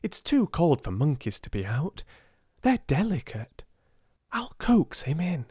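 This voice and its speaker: A British man voicing a kind, imaginative young girl in a storybook, soft, coaxing and tender with animals. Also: fairly high pitch, very animated, unhurried, slightly rough, no room sound, clean studio sound, pitched above the performer's own voice, full character voice. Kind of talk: storybook character voice.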